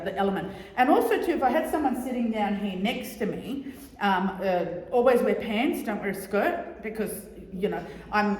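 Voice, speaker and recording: Woman, middle-aged, loud voice, chatty and jokey, repeats herself, echoey hall. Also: medium pitch. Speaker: guest speaker on oral history. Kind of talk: informal talk to a society audience.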